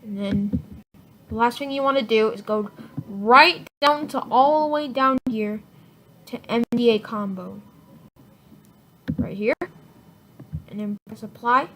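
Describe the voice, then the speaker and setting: A woman giving a casual, unscripted software tutorial in an informal, rambling way, often correcting herself. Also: fairly high pitch, slow pace, long pauses. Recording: noisy home recording with clicks.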